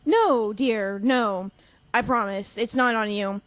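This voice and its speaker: A female professor for an audio drama, with a slightly high voice. She is kindly and caring, yet reserved and gently firm, choosing her words in a measured way. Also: dry recording. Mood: apologetic but firm. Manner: caring, measured, calls listener dear.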